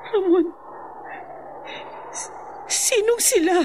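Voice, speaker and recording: A woman's voice: very high-pitched, background noise. A woman playing a tender, pleading, grieving mother in an emotional, melodramatic radio drama style. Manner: emotional, slow, drawn-out.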